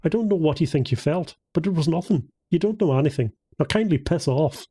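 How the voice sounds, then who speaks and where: Man acting sneering, hostile bar stranger, husky. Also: a little high, animated, brisk, mellow, voice pushed up. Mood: cold menace. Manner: scornful, pompous.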